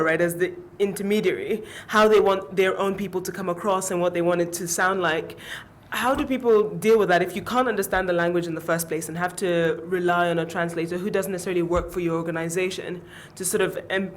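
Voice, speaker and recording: A woman moderating a panel discussion who thinks aloud as she puts questions to the room. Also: lowish voice.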